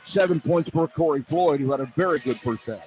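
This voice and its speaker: A male play-by-play announcer with a somewhat high-pitched voice delivers live sports radio commentary. He runs through the halftime picture as a broadcaster working inside a basketball arena. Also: a little fast, darkish tone, soft background music.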